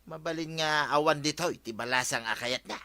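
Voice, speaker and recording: A man's voice: a little high, moderate pace, pushed and bright, no room sound. An actor with an Ilocano accent playing a nagging, gossipy village man in a radio comedy drama.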